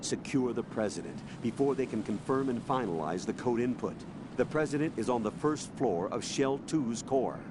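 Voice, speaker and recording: An actor playing a stern, gruff military colonel giving terse, commanding briefings in a video game. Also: male voice, mid-range voice, moderate pace, background noise, close to an everyday voice. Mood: urgent briefing. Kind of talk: dramatic video game voice acting.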